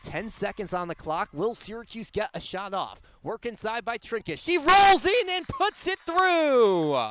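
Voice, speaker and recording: A man's voice: extremely high pitch, a bit bright, clear, resonant tone. A young man doing live radio play-by-play sports commentary in a clipped, descriptive way. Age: young adult. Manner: clipped, descriptive call of the action. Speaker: play-by-play announcer.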